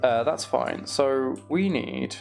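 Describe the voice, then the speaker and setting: Creaky-voiced man livestreaming a game. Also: medium pitch, expressive, slow pace, soft background music. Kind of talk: casual gaming livestream chat with commentary.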